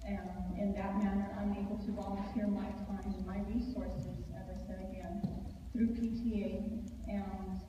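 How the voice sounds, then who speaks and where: A woman's voice: mid-range voice, flat delivery, unhurried, recorded from a distance, muffled recording. Woman in a very echoey, noisy hall. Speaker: school board applicant. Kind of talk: answering at a public forum. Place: large echoey room at public forum.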